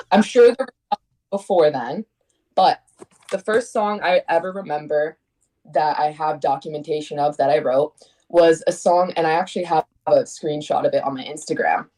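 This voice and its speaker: A woman's voice: sits low, normal speed, mellow, low-quality recording. A young woman from Connecticut, a singer-songwriter guest, chatting casually with rambling restarts. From Connecticut, USA. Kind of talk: casual interview chat.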